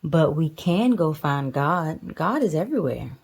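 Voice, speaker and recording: A woman voicing a gentle mother bear from a storybook, patient and reassuring, with emphatic delivery. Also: low-pitched, lively intonation, a little slow, breathy edge, full character voice.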